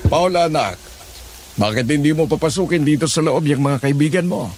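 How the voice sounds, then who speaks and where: A man playing a calm, kindly older father in an audio horror drama, gently persuading. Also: a little high, fairly quick, slight background noise, close to an everyday voice. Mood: gentle, reassuring.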